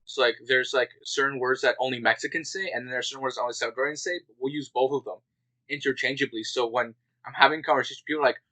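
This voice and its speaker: A man's voice: medium pitch, steady tone, fast, sharp tone, rough recording. A young man co-hosting a podcast in casual chat, talking loosely with many fillers and frequent laughs. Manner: chatty, lots of "like" fillers, laughs often. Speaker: podcast co-host.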